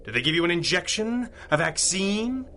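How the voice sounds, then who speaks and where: An actor playing a commanding vigilante hero in a radio drama, controlled, intimidating and persuasive. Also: male voice, high-pitched, sing-song, moderate pace, sharp tone, a little hiss or hum, full character voice. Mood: urgent, persuasive. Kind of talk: old-time radio drama acting.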